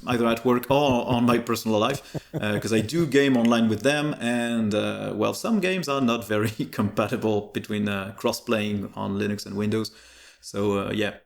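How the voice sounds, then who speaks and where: Low, gravelly-edged native French-speaking man. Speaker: interview guest, tech YouTuber. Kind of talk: casual interview chat.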